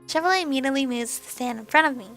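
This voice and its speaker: Young woman reading a visual novel, voice going hoarse, kinda gravelly and high. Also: animated, racing, fairly bright tone, faint music behind. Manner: casual asides between earnest narration. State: hoarse, losing her voice. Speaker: let's play host.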